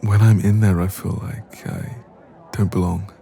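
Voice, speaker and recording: Male actor as insecure young man in ASMR roleplay: very deep, mellow, hesitant delivery. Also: fairly even intonation, vocal fry. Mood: downcast, vulnerable. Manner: hesitant, soft-spoken, trailing pauses. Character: insecure, anxious young man confiding in a friend. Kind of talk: intimate ASMR audio roleplay.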